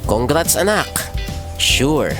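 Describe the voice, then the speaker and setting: A man's voice: fairly high pitch, big pitch swings, slow pace. A man playing a loving, earnest middle-aged father with a Filipino accent in an audio drama. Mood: warm, proud. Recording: music bed behind the voice.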